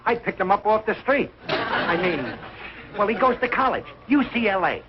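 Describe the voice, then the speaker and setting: A middle-aged man playing a blustering, soft-hearted working-class American father in a 1940s television sitcom, with blunt, slangy, ungrammatical speech. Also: sits high, big pitch swings, normal speed. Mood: excited, proud. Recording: noisy, dull old television kinescope soundtrack.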